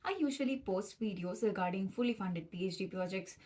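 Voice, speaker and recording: A woman's voice: medium pitch, fairly quick, a little mellow. A young woman, a native Tamil speaker with a Tamil accent, presenting an educational explainer step by step as a student addressing her viewers. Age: young adult.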